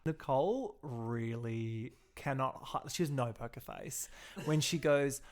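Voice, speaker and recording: A man's voice: mid-range voice, unhurried. An Australian man chatting in a camp, gossipy way on a TV recap podcast, laughing often. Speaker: podcast co-host. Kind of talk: casual gossipy recap chat.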